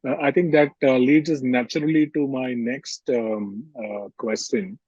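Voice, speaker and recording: A man hosting an interview, asking questions in a polite, measured, formal way. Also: medium pitch, steady tone, darkish tone, husky, no room sound, muffled recording. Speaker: interview host.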